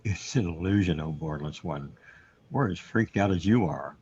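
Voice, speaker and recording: A low, dark voice by a male actor plays a dry companion in a radio drama. The delivery is deadpan, and the recording is a little dull. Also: moderate pace, husky, heavily acted. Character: dry companion insisting on shared dread. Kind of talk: radio play acting.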